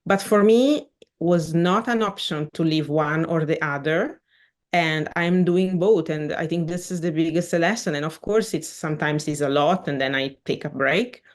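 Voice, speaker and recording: A woman's voice: low-pitched, moderate pace. A woman who grew up in Switzerland, speaking English as a second language, earnestly describing her career as a podcast guest. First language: not English. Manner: earnest, animated, with frequent restarts and non-native grammar. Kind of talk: casual interview chat.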